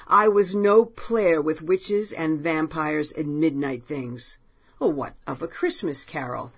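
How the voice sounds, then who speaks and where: A woman's voice: deep voice, a bit bright, husky, exaggerated voice. A woman voicing an indignant, proud male novelist in a storybook reading, dismissive in tone.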